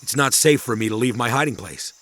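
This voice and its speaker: A man playing a grave, secretive professor in a kids' audio drama, speaking in a measured, cryptic and ominous way. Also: mid-range voice, fairly bright tone, lightly acted.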